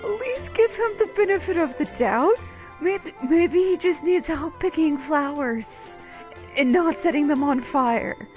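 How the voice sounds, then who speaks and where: A woman's voice: sits high, lively intonation, a little slow, dull audio. A woman streamer giving casual playthrough commentary, full of sarcastic, teasing asides to the characters. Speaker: game streamer. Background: video game music.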